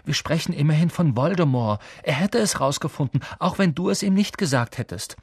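A man plays a bold, kind-hearted schoolboy from an audiobook. The voice is pitched up and lively, with a slightly husky grain. He speaks earnestly and with emphasis. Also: fairly quick, no echo, clean studio sound. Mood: insistent. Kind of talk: audiobook character voice.

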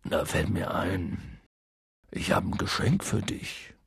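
A man voicing a huge, soft-hearted, guilt-ridden bearded man in an audiobook, with rough colloquial, halting speech. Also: sits low, dry recording, clean studio sound, full character voice. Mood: subdued, tender. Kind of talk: audiobook character voice.

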